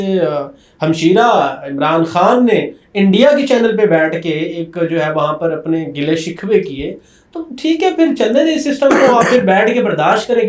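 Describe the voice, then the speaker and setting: A man's voice: sits high, a little mellow, roomy sound. A man with a Pakistani accent conducting a political interview, putting long, probing questions.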